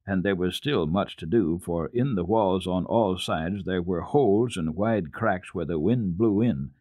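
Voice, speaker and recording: A man giving an expressive, dramatic reading of classic children's literature as a radio storyteller, with deliberate phrasing. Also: low voice, no room sound, clean studio sound.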